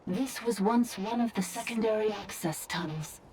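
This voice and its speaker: Game robot, female actor: factual, unfeeling, mid-pitched, clipped phrases, noisy signal. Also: normal speed, lightly acted. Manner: clipped, flat report-like statements. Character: female robot, factual and emotionless, with faulty language module. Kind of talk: video game voice acting. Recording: distant voice, clearly noisy, clicking signal.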